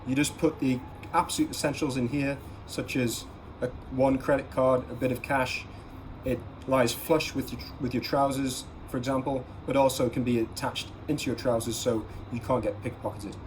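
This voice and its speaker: A man's voice: medium pitch, little pitch movement, normal speed, rough recording. A man hosting a casual gear vlog, talking viewers through products in an instructive, advising way and stressing key words. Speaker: host of an everyday-carry gear vlog. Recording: clear background ambient noise.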